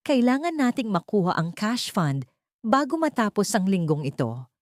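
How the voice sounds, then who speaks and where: A woman's voice: mid-range voice, very animated, moderate pace, dry recording, studio quality, voice pushed up, lightly acted. A woman voicing a cruel, scheming older matriarch in an audio drama, scolding and commanding. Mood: ruthless, commanding.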